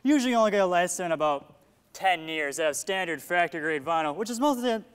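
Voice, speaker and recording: A man's voice: high-pitched, animated, fast, fairly bright tone, clean tone. An actor playing a relentlessly upbeat, pushy salesman of the future in a comic stage play, full of glib patter. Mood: eager pitching. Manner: glib sales patter, talks over objections. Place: theater stage at a play festival. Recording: echoey stage hall, noticeable hiss.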